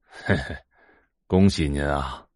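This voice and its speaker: Man acting a deep-voiced old Taoist sage. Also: slow pace, no echo, studio quality. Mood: genial. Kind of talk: Chinese audio drama dialogue.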